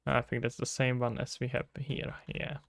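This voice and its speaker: A man's voice: medium pitch, monotone, moderate pace, creaky, a bit bright, dry recording, clean studio sound. A Czech-accented man streaming game development, thinking aloud and answering chat questions casually. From Czech Republic. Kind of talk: casual live-stream chat while working.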